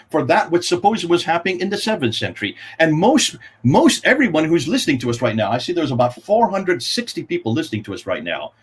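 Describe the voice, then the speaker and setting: A man argues on a livestream call, husky and a touch high, his pitch leaping around as he hammers home his questions. His delivery is insistent and he keeps challenging the listener. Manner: emphatic, rising to challenge with rhetorical questions. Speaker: co-host. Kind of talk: livestream discussion.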